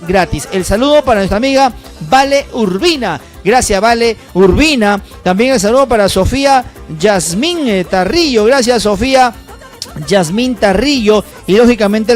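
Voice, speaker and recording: Upbeat fast-talking man hosting live. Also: sits high. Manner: rapid, upbeat, salesy, many fillers. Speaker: livestream host. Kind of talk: live broadcast shout-outs and sponsor ad.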